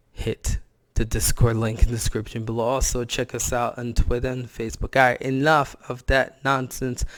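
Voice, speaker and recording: Hoarse guy with a cold doing reaction commentary, blunt and slangy. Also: medium pitch, no echo. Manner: blunt, slangy, scolding the other creator. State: hoarse from a cold and sore throat. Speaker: reaction channel host.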